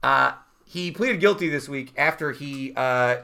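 A man's voice: medium pitch, animated, unhurried, creaky, bright, forceful tone. A man hosting a podcast in casual chat, talking loosely with lots of fillers and laughs, his voice worn from talking all day. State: losing his voice. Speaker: podcast host.

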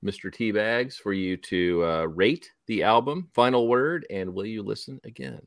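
A man's voice: on the low side, normal speed, creaky, no echo, clean studio sound. A man hosting a casual music podcast, leading the segments with an upbeat manner. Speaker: podcast host.

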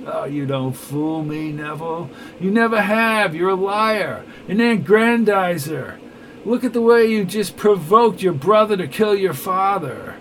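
A man's voice: fairly high pitch, measured pace, a bit bright, a little hiss or hum. A man voicing an elderly, jolly male inspector who answers with defiant, scornful retorts. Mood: accusing.

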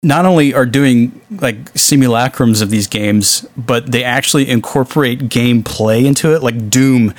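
A man's voice: mid-range voice, normal speed, hardly any pauses, no echo. Casual, exasperated man podcasting, dry sound. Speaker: podcast host. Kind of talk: casual film discussion.

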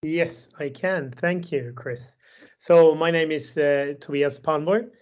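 A man's voice: a little high, slow, darkish tone. A man in his forties with a Swedish accent, a podcast guest speaking thoughtfully with many restarts over a call. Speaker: podcast guest, tech executive. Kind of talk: casual remote conversation. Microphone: video call.

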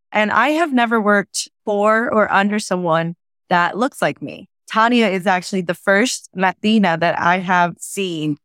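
A woman's voice: medium pitch, a bit bright, no room sound, clean studio sound. A woman co-hosting a podcast, earnestly posing questions to the guests. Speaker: communications manager, co-host. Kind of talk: podcast interview.

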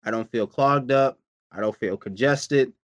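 A man in easy, casual conversation, his voice sitting at an ordinary middle pitch and recorded dry and close with no room sound. He rambles, repeats phrases and swears casually as he talks. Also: unhurried, no echo, muffled recording. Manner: rambling, profane, repeats himself. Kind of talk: casual chat.

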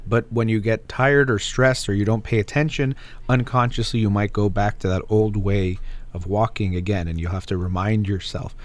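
Calm, slightly low-voiced male host. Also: normal speed. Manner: calm, reflective, explains with examples. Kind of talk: advice talk with a caller.

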